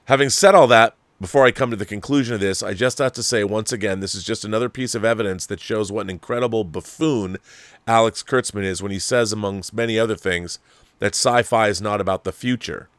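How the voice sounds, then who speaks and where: Low-pitched man, emphatic talk host. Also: a little fast, a bit bright, no room sound. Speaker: online show host. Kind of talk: opinionated talk, reading viewer mail.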